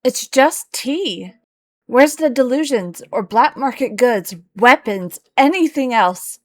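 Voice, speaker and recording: A woman's voice: high voice, lively intonation, slow pace, no room sound, clean studio sound, faint music behind, pitched above the performer's own voice. A woman voicing a determined, hot-tempered young adventurer in a game story, speaking insistently and with exasperation. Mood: frustrated.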